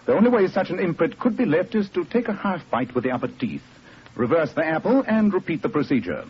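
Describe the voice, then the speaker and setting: An actor playing a brilliant, cool English detective with a precise, superior manner in 1940s radio drama. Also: male voice, on the high side, sing-song, brisk, breathy edge, full character voice. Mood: absorbed, superior. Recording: dull, noisy old radio recording.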